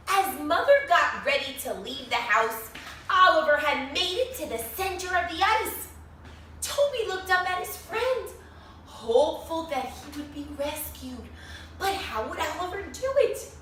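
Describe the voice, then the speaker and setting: High-pitched woman reading a storybook in echoey room. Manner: expressive, with dramatic pauses and questions to young listeners. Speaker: children's author reading own book aloud to young children. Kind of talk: animated children's storybook read-aloud. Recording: echoey room, distant mic, faint noise.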